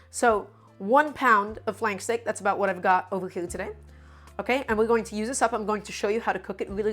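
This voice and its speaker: A woman hosting a live cooking stream, slightly sick and a bit nasal, talking in an upbeat, chatty way. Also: medium pitch. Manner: upbeat, chatty, greets chat by name.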